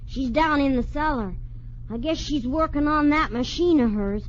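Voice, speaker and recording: A woman's voice: high-pitched, moderate pace, slight background noise, close to an everyday voice. An actress playing an eager, curious young farm boy in an old radio drama, boyish in manner. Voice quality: boyish, wide-eyed. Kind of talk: 1940s radio acting. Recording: dull old radio broadcast.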